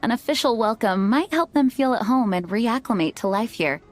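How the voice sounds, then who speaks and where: An actress playing an earnest, modest young knight commander in an anime game, speaking formally and politely. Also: female voice, a little high, animated, normal speed, lightly acted. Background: background music.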